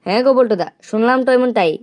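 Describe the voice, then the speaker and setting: A man's voice: extremely high pitch, rapid-fire, dry recording. A man voicing a gossipy, crude young village lad in a comic cartoon. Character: foul-mouthed young village lad, eager gossiping sidekick.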